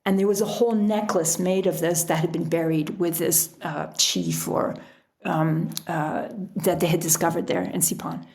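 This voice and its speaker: Creaky, low-voiced woman chatting. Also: fairly even intonation, moderate pace, a little mellow. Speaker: podcast guest, author. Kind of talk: casual conversational interview.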